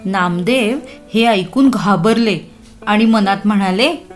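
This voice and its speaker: A woman's voice: medium pitch, slow pace, faint music behind. A woman narrating a scripted children's story expressively, addressing young listeners with questions.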